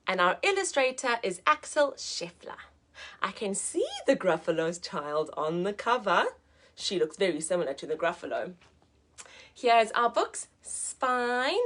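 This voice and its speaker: A woman hosting a children's story time like a teacher, expressive and sing-song, speaking directly to her young listeners. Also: a little high. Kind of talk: animated read-aloud for young children.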